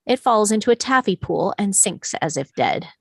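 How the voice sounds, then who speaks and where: A woman reading scripted stage directions as narrator at an animated film table read. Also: medium pitch, normal speed, breathy edge, dry recording, studio quality.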